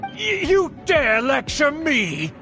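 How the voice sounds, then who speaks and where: Haughty, high-pitched older noble uncle. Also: male voice, wildly swooping pitch, takes it slowly, pushed and bright, soft background music, exaggerated voice. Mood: outraged. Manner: pompous, theatrical, formal speech. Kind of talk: video game voice acting.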